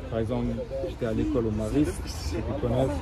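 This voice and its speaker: A man's voice: mid-range voice, fast, a loud crowd. A young man, a rapper raised in Germany, chatting casually outdoors on city streets, laid-back and slangy with constant filler tags. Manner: relaxed slangy talk with frequent tags. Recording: loud street noise with traffic.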